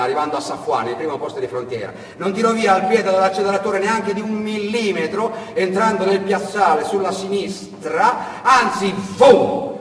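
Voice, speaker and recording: A man narrating a tale theatrically, with emphatic delivery and dramatic pauses. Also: sits high. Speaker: actor and storyteller. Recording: echoey hall, distant mic, faint noise.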